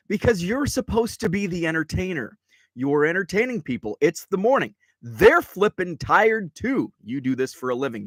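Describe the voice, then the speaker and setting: A man's voice: fairly high pitch, fairly bright tone. A middle-aged male host chats loosely on his show, sounding tired and a little stuffed up. His voice swings up and down a lot as he rambles through restarts and tangents. The sound is dry and close, with no room echo. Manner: rambling and chatty, with many restarts and asides. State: tired and congested. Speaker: host of a card-collecting show. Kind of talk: casual live-show chat. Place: card room.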